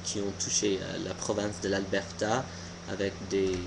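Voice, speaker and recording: A young American man, a native English speaker, giving an informal opinion monologue haltingly, searching for words. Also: deep voice, monotone, gravelly, background noise. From United States. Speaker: video blogger.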